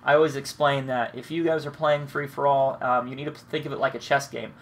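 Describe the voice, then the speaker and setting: A young man talks through his match in a relaxed, rambling way, dropping curses as he goes. His nose is blocked from a cold, giving him a congested, sniffly sound. His pitch sits in an ordinary middle range, with a faint hiss of background noise. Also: fairly quick. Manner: rambling, laid-back, swears freely. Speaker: gaming commentator. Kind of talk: casual commentary over gameplay.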